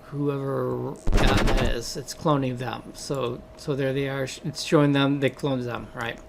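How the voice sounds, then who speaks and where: A man with a hoarse voice from a cold, casually walking viewers through the game he developed, rambling with many fillers. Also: medium pitch, flat delivery, measured pace, very noisy.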